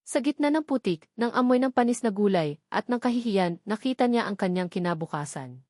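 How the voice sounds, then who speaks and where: A woman's voice: medium pitch, lively intonation, a little fast, warm tone, no room sound, studio quality. A woman narrating a romantic drama story from a script in an earnest, sentimental manner. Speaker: narrator of love story channel.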